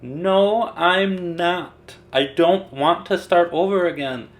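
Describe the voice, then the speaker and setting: A storybook woman, reluctant and sulky, as a man acts her out. She whines and moans about her first day in a raised pitch, stretching her words out slowly. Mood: sulky, stubborn. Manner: sulky, moaning, drawn-out delivery.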